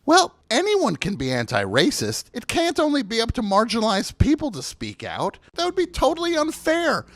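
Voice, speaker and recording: Animated, earnest cartoon mentor. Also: female voice, a little high, normal speed, dry recording, studio quality. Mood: encouraging.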